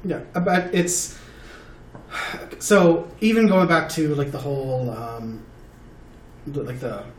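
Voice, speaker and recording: A man's voice: a little high, slow pace. A man in a casual chat, thinking aloud hesitantly and searching for words. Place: table with four people. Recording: roomy and distant with faint noise.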